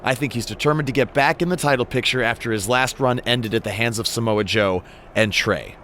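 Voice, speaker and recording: A man's voice: mid-range voice, brisk, a bit bright, a little hiss or hum, natural voice. A man playing an affable, easily exasperated veteran wrestler bantering as a show co-host in a game cutscene.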